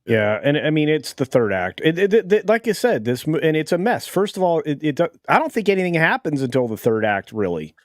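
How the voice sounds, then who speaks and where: A man casually reviewing a film on a panel, disapproving, halting and repeating his words as he goes. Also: a little high, sing-song, no room sound, clean studio sound.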